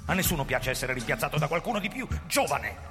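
A brooding, paranoid police chief, echoing hall. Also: male voice, fairly high pitch, big pitch swings, fast. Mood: indignant. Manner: brooding, sarcastic, intense. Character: paranoid, bitter police chief nearing retirement. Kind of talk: theatrical radio drama acting with first-person narration. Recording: reverberant hall, music playing behind.

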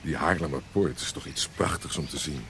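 An actor playing an impulsive, proud and brooding young apprentice in a Dutch historical radio drama. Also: male voice, extremely low pitch, a little fast, creaky, a bit bright, slight background noise, full character voice. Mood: opinionated.

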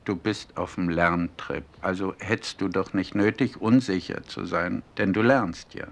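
Male interviewer, very low voice, encouraging and reassuring. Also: measured pace, creaky. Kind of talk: interview questions.

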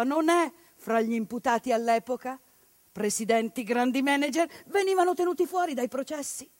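A woman delivering an impassioned theatrical monologue, building rhetorical lists and dropping into hushed bitterness. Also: fairly high pitch, no room sound. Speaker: stage actress.

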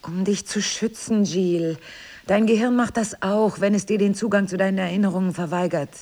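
An actress playing a cool, teasing, evasive wife in an intimate audio drama, speaking with measured, coy irony. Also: female voice, medium pitch, fairly even intonation, warm tone, lightly acted. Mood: guarded, grave. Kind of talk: intimate two-person audio drama acting.

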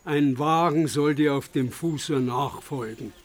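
An actor playing a courtly, hospitable count in a radio play, speaking in a florid, emphatic, courteous manner. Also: male voice, a little high, takes it slowly. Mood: rapturous, proud. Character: effusive, courtly aristocratic count, a hospitable father. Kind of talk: German radio play acting.

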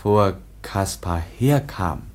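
A man playing a timid, childlike young foundling in a German radio drama, speaking in broken, halting toddler-like phrases. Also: low-pitched, animated, a little mellow. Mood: halting, innocent.

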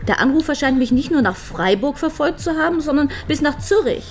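An actress playing an anxious, insistent widow who feels threatened, in a German radio crime drama. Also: female voice, high-pitched, lively intonation, fairly quick, a little hiss or hum. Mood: agitated, insistent.

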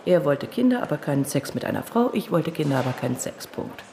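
Low, gravelly, guarded widowed lawyer. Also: female voice, sing-song, quick pace, background noise. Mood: defiant. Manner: terse, defiant. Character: guarded, weary widowed female defence lawyer. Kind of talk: radio drama acting.

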